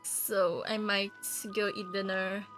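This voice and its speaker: Female streamer, mid-pitched, casual off-the-cuff chat, faint music behind. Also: level tone, slow pace, a bit bright. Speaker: game streamer.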